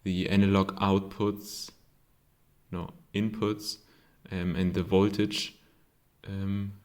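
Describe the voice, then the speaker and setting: German man, non-native English, unhurried maker explaining his board, stop-start delivery. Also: deep voice, flat delivery, darkish tone. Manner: hesitant, many ums and self-corrections. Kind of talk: casual show-and-tell.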